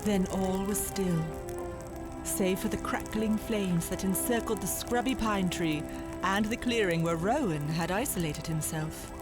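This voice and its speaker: A woman giving measured, suspenseful storybook narration in an audio drama. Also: mid-range voice, low-quality recording. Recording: music behind the narration.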